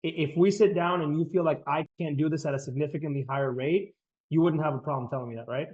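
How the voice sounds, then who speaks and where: A confident man pitching on a sales call, talking quickly and restarting his sentences as he presses his point. His voice sits a bit high, over muffled, lo-fi audio. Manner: persuasive, confident, repeats words as he starts sentences. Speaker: real estate agent and sales coach.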